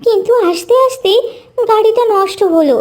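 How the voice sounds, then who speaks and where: A performer acts out a young jackal son in a cartoon tale. The voice is extremely high and small. He sounds whiny, pleading and easily panicked. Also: fairly quick, a little mellow. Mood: shaken, explaining. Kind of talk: Bengali cartoon story voice acting.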